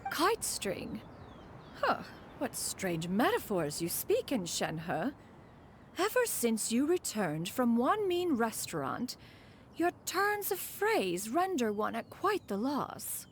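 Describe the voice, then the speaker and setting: An actress playing a proud, fussy immortal master in a video game, speaking in formal, archaic, imperious diction. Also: female voice, fairly high pitch, huge pitch swings, takes it slowly, a little hiss or hum, full character voice. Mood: haughty.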